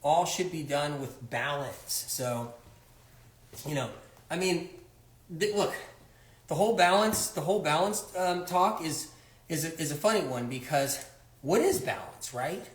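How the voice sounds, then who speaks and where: A man's voice: a little high, animated, a little slow, long pauses, reverberant. A man hosting a casual livestream while he cooks in his kitchen, talking in a rambling, sarcastic way into a phone. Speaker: host.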